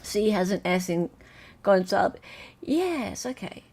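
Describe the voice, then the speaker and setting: An Australian woman hosting a casual live-stream chat, excitable and talkative, reading out viewers' comments. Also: medium pitch, takes it slowly, vocal fry, slightly rough. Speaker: livestream host.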